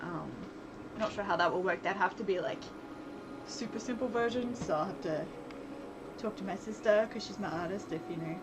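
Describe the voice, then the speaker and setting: A woman streamer and game developer chatting casually on a livestream, thinking aloud in a rambling way with soft laughs. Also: mid-range voice, moderate pace. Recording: loud background noise, vehicle sounds.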